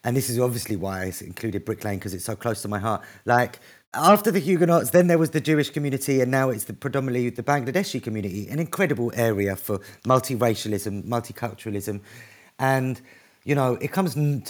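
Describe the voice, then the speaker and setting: A man speaks in an average-pitched voice with warm earnestness. He is an author answering a question at a book event. His talk is quick and emotional, full of restarts and asides. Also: lively intonation. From North East London, England. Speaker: author and activist, guest.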